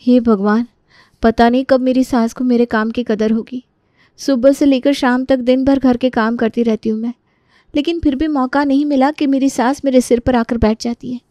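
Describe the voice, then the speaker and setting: A woman's voice: high-pitched, steady tone, moderate pace, mellow, no echo, natural voice. A woman voicing a meek, overworked young daughter-in-law who pleads and complains in a dramatised story. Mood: resentful, complaining.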